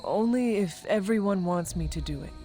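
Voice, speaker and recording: A man voicing a solemn, dutiful warrior hero in a game, speaking formally and deliberately. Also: high-pitched, warm tone, faint music behind, lightly acted. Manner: formal, deliberate, few contractions.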